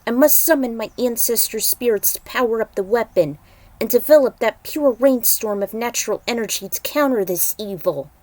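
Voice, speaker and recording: A woman's voice: on the high side, animated, normal speed, a little mellow, no echo, lightly acted. A resolute shaman warrior voiced by a woman storyteller, earnest in tone. He speaks in firm declarations, as part of a dramatic fantasy tale.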